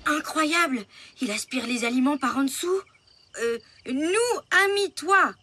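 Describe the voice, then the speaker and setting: A woman voicing an earnest, nervous, imaginative young boy in an animated series. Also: high voice, unhurried, heavily acted. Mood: excited alarm. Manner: hesitant, earnest. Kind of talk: French animated series dialogue. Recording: loud music behind the voice. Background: loud music.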